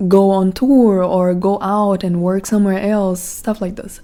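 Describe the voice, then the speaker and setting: Brazilian woman with a mellow voice musing aloud. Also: medium pitch. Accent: Brazilian Portuguese. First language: Portuguese. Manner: reflective, rambling with many "like" fillers. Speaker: podcast host. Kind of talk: casual monologue.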